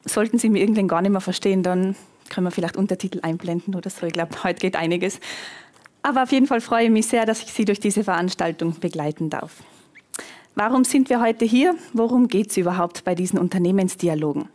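A woman in her thirties with a Tyrolean Austrian accent, hosting an event on stage in a lively, chatty, self-joking way. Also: mid-range voice, fairly quick. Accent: Tyrolean Austrian German. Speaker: event host, TV presenter. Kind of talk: event moderation. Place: conference hall on a stage.

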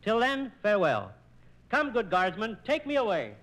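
Gallant condemned colonel, animated voice. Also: male voice, high voice, moderate pace, heavily acted. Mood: brave, wry. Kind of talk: 1940s radio operetta acting.

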